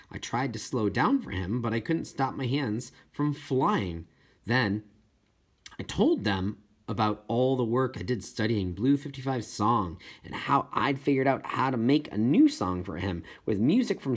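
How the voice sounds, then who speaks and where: An audiobook reader giving an expressive first-person narration of a children's novel, with the occasional small stumble. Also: mid-range voice, slightly rough.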